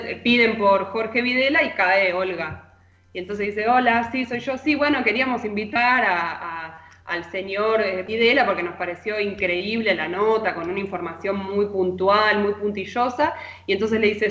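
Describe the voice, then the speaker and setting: A woman's voice: medium pitch, moderate pace, fairly bright tone. Argentine woman in echoey, slightly dull audio. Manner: chatty, informal. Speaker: literary columnist. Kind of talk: conversational radio column telling anecdotes.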